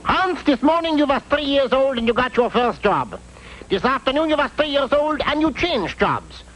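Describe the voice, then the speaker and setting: A man's voice: extremely high pitch, very animated, moderate pace, fairly bright tone, exaggerated voice, poor audio. A man voicing a nonchalant Dutch father in a cartoon fairy tale, talking in comically broken grammar. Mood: bossy. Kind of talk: cartoon character voice. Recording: old unrestored TV cartoon audio.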